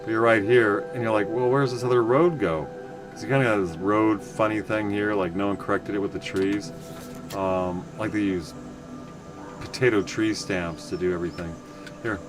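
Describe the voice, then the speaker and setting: Rambling low-voiced man streaming a game. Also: fairly even intonation, normal speed, music in the background. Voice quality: loud voice. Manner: rambling, self-correcting, chatty asides to viewers. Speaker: livestream game player. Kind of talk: casual gameplay commentary.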